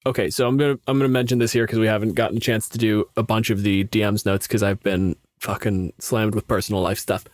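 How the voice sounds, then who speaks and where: A man's voice: on the low side, level tone, fast talker, few pauses, no room sound, studio quality. A man speaking casually as a podcast co-host and game master, with a blunt, teasing, sweary manner.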